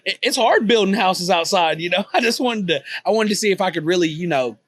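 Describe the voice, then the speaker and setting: A man in his twenties talks in an easygoing Texan drawl, his voice sitting a little high for a man. He rambles comfortably, dropping "you know" every few phrases as he answers questions. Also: lively intonation, a little fast, a bit bright. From Texas, USA. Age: 20s. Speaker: guest, poker vlogger. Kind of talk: casual interview chat. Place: on a cruise ship.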